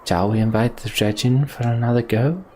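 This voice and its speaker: A man's voice: mid-range voice, moderate pace, a little mellow, slight background noise, natural voice. Give me a grave, reproachful council speaker from a game, as a man voices him.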